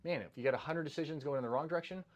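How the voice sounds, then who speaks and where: A man's voice: fairly high pitch, rapid-fire. A middle-aged man, a doctor, talking earnestly to the camera about health habits, often repeating words and restarting his sentences. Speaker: doctor giving health advice. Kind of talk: casual monologue to camera.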